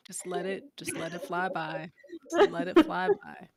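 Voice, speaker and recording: A woman's voice: on the low side, big pitch swings, normal speed, crowd noise. A woman chatting casually in a playful, dryly teasing manner. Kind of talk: casual chat.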